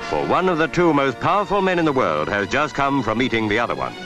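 A man's voice: mid-range voice, sing-song, fast. A man narrating a 1960s newsreel in scripted commentary over music. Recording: archive newsreel with music bed.

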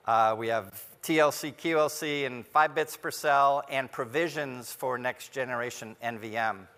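A man presents a prepared keynote in a mid-pitched, bright and crisp-edged voice. His phrasing is measured and deliberate, with pauses between points. The sound has the long reverb of a large conference ballroom. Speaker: technology executive giving a keynote. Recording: hall reverb from a large venue.

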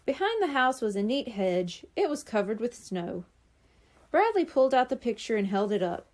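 A woman narrates a children's storybook in a fairly high, mellow voice. Her delivery is very animated and expressive, with lively rises and falls as she moves through the story. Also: moderate pace. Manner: animated, acts out dialogue. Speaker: audiobook reader.

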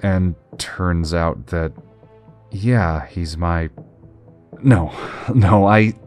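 A man playing a stunned young hero leader who speaks haltingly in an audio drama. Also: deep voice, a little mellow, soft background music. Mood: shaken. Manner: halting, disbelieving speech.

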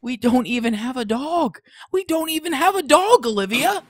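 A woman playing an exasperated, scheming, foul-mouthed teenage boy in an audio drama, animated and sarcastic. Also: high voice, normal speed, slight background noise.

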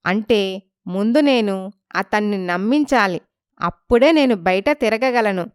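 A woman voicing a wronged young village wife in a story, polite and pleading but quietly determined. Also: mid-range voice, unhurried, smooth, no echo, clean studio sound, natural voice. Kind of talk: dramatised story acting.

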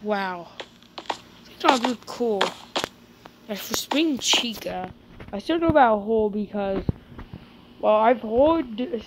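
A woman chatting in character during a casual game roleplay, breaking into excited exclamations. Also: a little high, slow pace, pauses often, noisy background. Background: loud game music.

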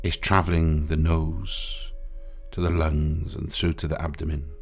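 A man with a deep, low voice leads a guided meditation. He speaks soothingly and without hurry, leaving pauses between short phrases. Soft music plays faintly behind him. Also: level tone.

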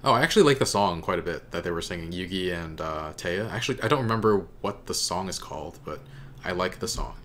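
Young adult male reaction host, low voice, casual commentary, close desk mic in a home room. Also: animated, fast, fairly bright tone, slight background noise. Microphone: desk microphone close in front.

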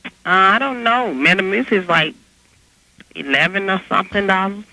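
Woman, grocery employee, very sharp tone, lively pitch, brief matter-of-fact replies, dry phone recording. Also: normal speed, no room sound. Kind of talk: customer service phone call. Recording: dry telephone line.

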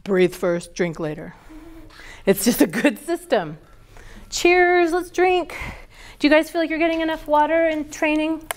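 A woman with a high, bright voice leads a class of yoga teacher trainees. She keeps firing questions at the group and answers them herself. She sounds middle-aged and is picked up by a camera across a large wooden studio. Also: a little slow. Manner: lively, quizzes students with questions. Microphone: far camera.

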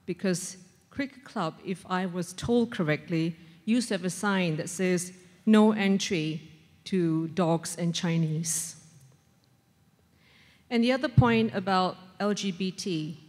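A middle-aged woman speaks slowly in a lowish voice at a floor microphone. She makes prepared points in an earnest, deliberate way, with long reverb from the large hall. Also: a little mellow. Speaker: audience questioner, social service worker. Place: large conference ballroom. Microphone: standing floor microphone.

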